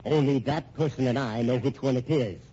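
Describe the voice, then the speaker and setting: A man's voice: mid-range voice, normal speed, dark tone, natural voice. A man playing a vengeful, gloating schemer in an old-time radio thriller, theatrical, menacing and taunting. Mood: coldly menacing. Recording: dull, noisy old radio recording.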